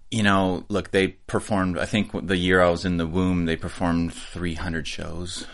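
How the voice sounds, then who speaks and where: Very deep-voiced relaxed man. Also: moderate pace. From Sweden. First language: Swedish. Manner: relaxed, joking, laughs often. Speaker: music producer, guest. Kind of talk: casual interview chat.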